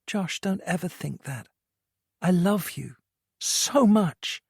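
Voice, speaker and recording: Brief: worried mum, hushed and pleading, gentle coaxing tone, performed by the audiobook reader. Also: female voice, fairly low pitch, lively intonation, slow pace, vocal fry, husky, no echo, clean studio sound, voice pushed up, heavily acted. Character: worried, grieving mother, soft and pleading.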